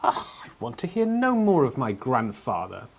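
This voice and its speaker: A man's voice: medium pitch, huge pitch swings, normal speed, vocal fry, husky, full character voice. A man acting a young uncrowned king in a radio play, whining, sarcastic and stubborn. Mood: sulky. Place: actor's home, recorded remotely.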